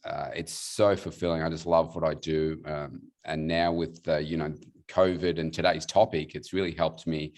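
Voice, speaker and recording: A man's voice: very deep voice, flat delivery, moderate pace, darkish tone. A man in his forties with an Australian accent, introducing himself enthusiastically on a video call, with many fillers. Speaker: weight loss coach, guest.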